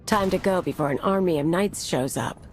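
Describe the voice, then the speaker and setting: Woman acting a low-voiced, sardonic mercenary woman. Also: brisk, soft background music, lightly acted. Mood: sly, goading. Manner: sardonic, brash, casual slangy delivery. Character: vengeful, sardonic female mercenary, casual about violence. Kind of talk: video game cutscene acting.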